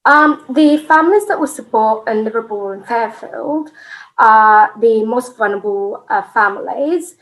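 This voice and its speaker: A woman's voice: on the high side, measured pace, a bit bright, rough recording. A woman from Iraq whose first language is Arabic, a family support manager introducing herself in a webinar, speaking with hesitant fillers.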